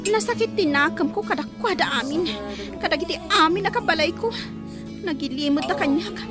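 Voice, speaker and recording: An actress playing a hurt, ashamed young adopted daughter in an emotional, tearful radio drama style. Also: female voice, very high-pitched, lively intonation, a little fast. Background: prominent dramatic music.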